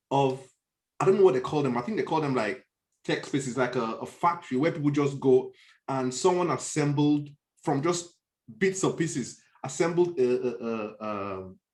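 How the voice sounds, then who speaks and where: A man's voice: medium pitch, a little mellow. A man with a Nigerian accent in a conversational discussion, often stopping to search for words and restate his point.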